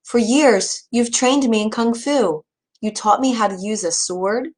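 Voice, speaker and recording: A woman's voice: on the high side, a little slow, a little mellow, pitched above the performer's own voice. A woman voicing a brave, devoted young daughter in a storybook, speaking earnestly and with resolve. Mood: resolute.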